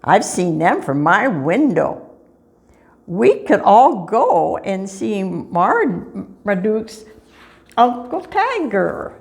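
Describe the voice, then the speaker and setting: An older woman voicing a wise old male attic cat in a children's storybook, calm, knowing and matter-of-fact. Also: medium pitch, drawn-out words, vocal fry, exaggerated voice.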